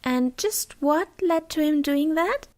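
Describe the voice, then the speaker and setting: A woman's voice: high-pitched, expressive, slow pace, a little mellow. A woman playing an inquisitive visiting goddess in an audio drama, with a questioning, theatrical delivery. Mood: curious.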